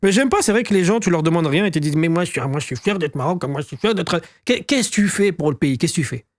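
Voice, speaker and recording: A high-voiced man from France fires off teasing, provocative banter at a fast clip. He swears freely and goads his co-host. His voice sits close and dry. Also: lively intonation, dry recording. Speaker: podcast co-host. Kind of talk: loud joking banter.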